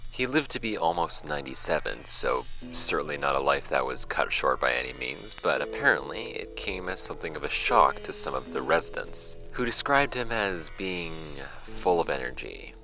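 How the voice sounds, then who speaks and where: A man's voice: rumbling low, sing-song, moderate pace, hardly any pauses, sharp tone, faint music behind, exaggerated voice. A man playing a casual, wry amateur podcast host who muses conversationally in an audio drama. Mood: measured storytelling.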